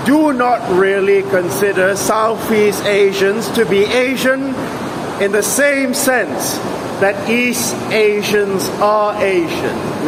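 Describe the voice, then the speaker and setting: A man with a high voice delivers an emphatic, sarcastic rant straight to his audience. He argues his point without letting up. Traffic noise from the street around him is clearly heard under his voice. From Singapore. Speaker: vlogger. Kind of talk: opinionated monologue to camera.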